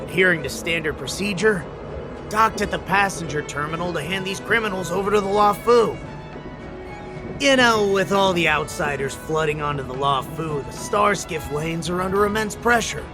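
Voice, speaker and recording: A man's voice: sits high, normal speed, lightly acted. A man voicing a conciliatory patrol officer in a video game, giving explanations in a polite, orderly way. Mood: frank, explaining. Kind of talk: English video game voice acting. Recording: music behind the voice. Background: game music.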